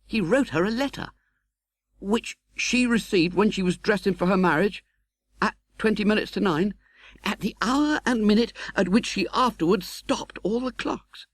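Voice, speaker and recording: A man playing a genteel, candid, cheerfully optimistic young gentleman in an audiobook, chatty and slightly hesitant. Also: high voice, moderate pace, no echo, pitched above the performer's own voice. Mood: earnest, confiding. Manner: animated, chatty, hesitating with little 'uh's. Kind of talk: audiobook character acting.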